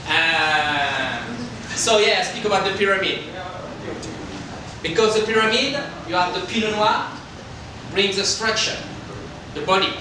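Middle-aged male winemaker, French accent, slightly high voice, halting English, informal presentation picked up from a distance. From France. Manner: halting non-native English with simple phrasing. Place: indoor hotel function room. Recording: roomy, distant microphone with background noise.

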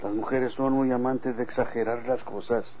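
An actor playing an easygoing, trusting country husband in a Mexican radio drama, with a creaky voice, colloquial manner and Mexican accent. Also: male voice, mid-range voice, fairly even intonation, normal speed, natural voice. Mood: complacent, reassuring. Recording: old radio recording, faint noise.

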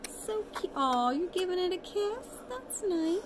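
A woman's voice: very high-pitched, very animated, measured pace, noisy background. A woman reads a picture book aloud to a toddler in an encouraging, sing-song way, as a mother does. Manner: sing-song, encouraging child-directed speech. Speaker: mother reading a bedtime story. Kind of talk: read-aloud storytelling to a toddler. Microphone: phone at arm's length.

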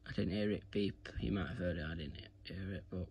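A man's voice: low-pitched, a little fast, darkish tone, breathy edge. A British man giving a casual hands-on tutorial in an informal, rambling, repetitive way, recorded on a phone at home. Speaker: video presenter giving a how-to demonstration. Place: indoors at home, carpeted room. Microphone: phone camera filming his hands.

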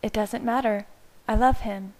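A woman reading the part of an earnest, tender young pregnant woman, speaking gently and reassuringly. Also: on the high side, fairly even intonation, a little slow, pitched above the performer's own voice, natural voice.

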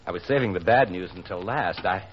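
An actor playing a charming, sinister uncle in 1940s radio drama, with a genial, smooth-talking, theatrical manner. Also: male voice, low voice, brisk, close to an everyday voice. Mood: genial, regretful. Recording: noisy muffled 1940s radio broadcast.

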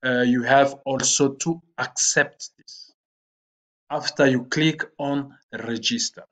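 Slow, halting man giving a screen tutorial. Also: mid-range voice, fairly even intonation, a bit bright. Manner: slow and halting, with frequent "uh" fillers. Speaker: online platform trainer. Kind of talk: screen-recorded tutorial walkthrough.

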